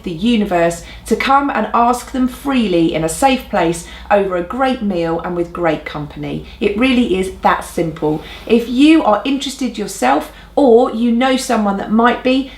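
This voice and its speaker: A woman presenting church announcements in an upbeat, earnest and encouraging way, with emphatic phrasing. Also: on the high side. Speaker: church leader presenting a newsletter. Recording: roomy indoor echo.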